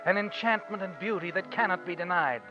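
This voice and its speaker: A man performs an ominous old-time radio narrator who taunts the guilty. His voice is somewhat high and full of suspense. Music plays clearly beneath the muffled broadcast. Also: a little fast, lightly acted. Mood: sly, ominous.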